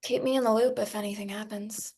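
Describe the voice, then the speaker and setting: A wary woman in a film drama, acted by a woman at a table read. She is blunt and guarded, a detective's reluctant partner, speaking at a medium pitch. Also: fairly even intonation, brisk, a little mellow, breathy edge. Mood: resigned. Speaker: actor at a script table read.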